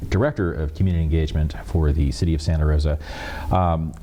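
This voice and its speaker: A man hosting an interview, chatty and prompting his guest with quick questions. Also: very deep voice, steady tone, dark tone. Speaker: host, interviewer. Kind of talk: radio-style interview.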